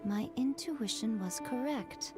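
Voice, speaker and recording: A woman voicing an aloof, knowing shrine lady in an anime game, speaking in a measured, cryptic, slightly condescending way. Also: on the high side, music in the background. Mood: knowing, mysterious. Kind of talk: anime game character voice acting.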